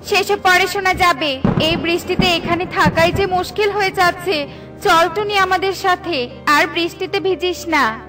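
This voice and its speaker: Mother bird for kids' animation, female actor: practical, easily exasperated, very high, over background music. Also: a little slow. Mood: urging. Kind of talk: children's cartoon voice acting. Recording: music behind the voice.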